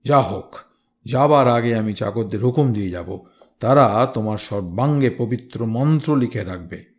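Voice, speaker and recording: A man's voice: mid-range voice, animated, moderate pace, close to an everyday voice. Guy doing a head priest in a folktale, stern but protective, earnest scolding way of talking. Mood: grave warning. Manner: admonishing, earnest. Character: senior male temple priest, stern, concerned, protective.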